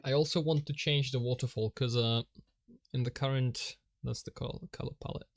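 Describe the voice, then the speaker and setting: A man's voice: mid-range voice, steady tone, normal speed, vocal fry. A man whose first language is Polish, rambling and self-mocking as he thinks aloud while drawing on a live stream, chuckling often.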